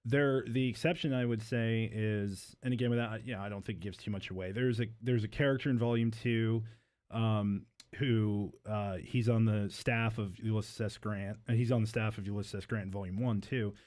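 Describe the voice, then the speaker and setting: A man's voice: lowish voice, fairly even intonation, normal speed, a little mellow. A man speaking as a novelist guest in a casual interview, explaining things earnestly. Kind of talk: casual book interview chat.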